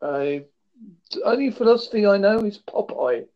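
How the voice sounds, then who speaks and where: A man's voice: high voice, lively intonation, normal speed, darkish tone. A man in his fifties from England with a Devon and Essex accent, asking plain-spoken questions in a casual interview. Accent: Devon and Essex English. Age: 50s. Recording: dull lo-fi mic.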